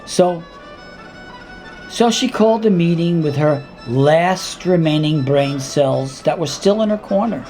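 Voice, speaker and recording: A man reading his own dramatic monologue in a deliberate, intense spoken-word style, with weighty pauses and repeated words. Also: a little high, animated, a little mellow, soft background music, low-quality recording.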